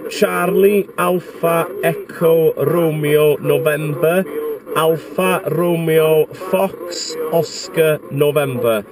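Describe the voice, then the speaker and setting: A man from Wales with a Welsh accent, working a CB radio and slowly spelling out call signs. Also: on the high side, music in the background, rough recording. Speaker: CB radio operator.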